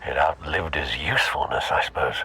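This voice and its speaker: A man playing a loyal, concerned older butler in a video game, with a formal, measured and watchful manner. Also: very deep voice, pushed and bright, breathy edge, exaggerated voice. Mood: concerned, pondering.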